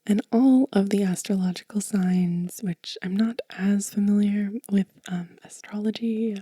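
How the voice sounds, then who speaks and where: A woman hosting soft-spoken ASMR, gently reading and explaining in a hushed, breathy whisper close to the microphone. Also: mid-range voice, fairly even intonation, unhurried, no room sound. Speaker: ASMR host.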